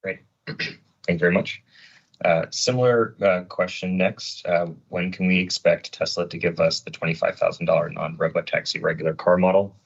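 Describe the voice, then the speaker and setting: A man with a very low, husky voice moderates a conference call. He reads out questions from investors, and the sound is a bit dull and band-limited. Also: steady tone, normal speed.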